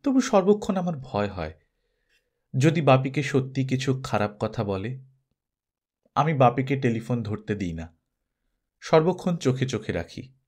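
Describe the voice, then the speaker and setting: Storybook role: male narrator as a worried, devoted mother, earnest and pleading, with lively pitch movement. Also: unhurried, pauses often. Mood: anxious confiding. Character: anxious, devoted young mother writing a pleading farewell letter.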